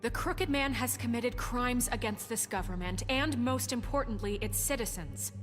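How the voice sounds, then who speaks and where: An actress playing an earnest government official in a video game, presiding with formal, articulate and resolute delivery. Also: female voice, fairly high pitch, moderate pace, lightly acted. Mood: formal, stern. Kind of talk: video game dramatic acting. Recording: long hall reverb, faint music.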